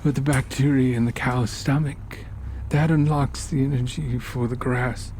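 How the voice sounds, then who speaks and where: A man voicing a genteel, sinister older occultist in a horror story, measured, polite and condescending as he lectures. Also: mid-range voice, fairly even intonation, a little mellow, slight background noise, pitched above the performer's own voice, close to an everyday voice. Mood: solemn lecturing. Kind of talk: horror story character dialogue.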